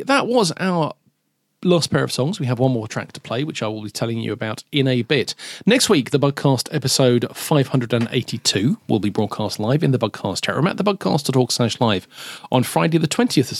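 A man's voice: mid-range voice, no echo, studio quality. Chatty man hosting a podcast. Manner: chatty, cheery, often stumbles and restarts words. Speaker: podcast co-host. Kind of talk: casual music podcast chat. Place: studio.

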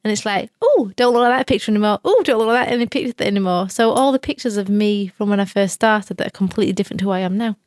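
A woman's voice: mid-range voice, expressive, very fast, few pauses, a little mellow, dry recording. A woman in her late thirties co-hosting a podcast, casual and teasing in banter. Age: 30s. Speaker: podcast co-host. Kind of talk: casual chat.

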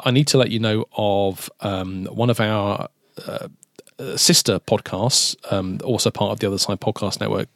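A man's voice: fairly low pitch, dry recording, clean studio sound. Chatty man hosting a podcast. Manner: chatty, cheery, often stumbles and restarts words. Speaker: podcast co-host. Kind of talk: casual music podcast chat. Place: studio.

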